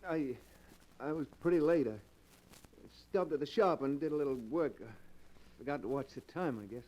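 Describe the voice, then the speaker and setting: Actor as a jittery, guilt-ridden man, high-voiced. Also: dark tone, close to an everyday voice. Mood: evasive, restless. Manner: halting, stammering, nervous. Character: jittery guilt-ridden locksmith turned criminal, evasive husband. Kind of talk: 1940s radio acting. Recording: distant-sounding 1940s radio recording.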